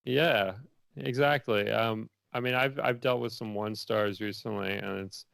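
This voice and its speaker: A man's voice: fairly low pitch, fairly even intonation, a little slow, creaky, fairly bright tone, no echo. A man answering interview questions as a filmmaker in a rambling, informal way full of filler phrases. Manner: rambling and informal, many likes and you knows.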